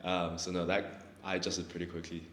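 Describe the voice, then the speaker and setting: A young man with a low, deep voice talks in a relaxed, halting way. He stops and restarts his sentences as he answers questions. The recording sounds echoey, with a little background hiss. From South Africa. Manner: laid-back, hesitant, restarts often. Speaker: guest, fighter. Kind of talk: casual interview. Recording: echoey room with faint noise.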